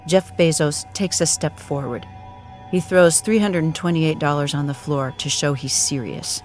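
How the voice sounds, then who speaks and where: Narrator, medium pitch, scripted dramatic storytelling, solemn mock-epic tone, prominent music bed. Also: fairly even intonation, normal speed. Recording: music clearly behind the voice.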